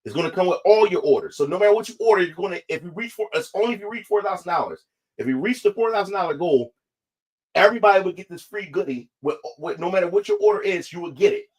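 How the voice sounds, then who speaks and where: A man with a slightly higher voice talks loosely to his viewers, looping back over the same points and restarting half-finished phrases. His voice is still not fully recovered. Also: animated, quick pace, low-quality recording. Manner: repetitive, restarts phrases often. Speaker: independent comic creator. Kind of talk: casual livestream talk to viewers.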